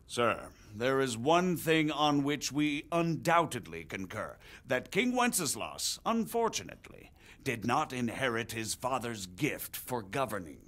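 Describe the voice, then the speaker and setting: A man's voice: medium pitch, very animated, unhurried, fairly bright tone. An actor playing a middle-aged nobleman who earnestly explains royal politics in formal game dialogue. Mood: grave. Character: grave middle-aged male nobleman, measured and persuasive. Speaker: visiting margrave explaining politics. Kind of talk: video game cutscene dialogue.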